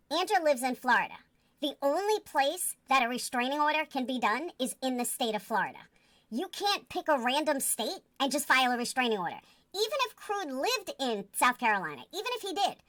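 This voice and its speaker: A woman with a high-pitched voice talks in a close, dry sound. She is blunt and sure of herself, laying out her opinions with firm emphasis in the casual way of a livestream commentator. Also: sharp tone, dry recording. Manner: blunt, emphatic, self-assured. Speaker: online commentator.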